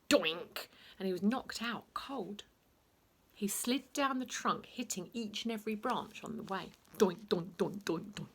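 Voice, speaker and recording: A woman reads a children's bedtime story in a fairly low voice. She takes her time, pacing it very slowly, and plays up sound words theatrically. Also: vocal fry, fairly bright tone, a little hiss or hum.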